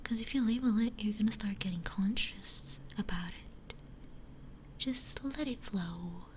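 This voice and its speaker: A woman speaking in a soft, breathy whisper, chatting casually and reflectively as an ASMR streamer, close to a binaural microphone. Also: medium pitch, measured pace. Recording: faint hiss and ambient noise.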